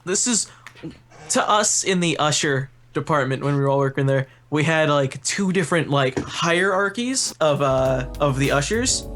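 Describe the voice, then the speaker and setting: A young man with a slightly high voice tells a casual, profane story to friends. He acts out bits of remembered dialogue, and music plays faintly behind him. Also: animated, moderate pace, a bit bright. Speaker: podcast storyteller. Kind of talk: casual anecdote telling among friends.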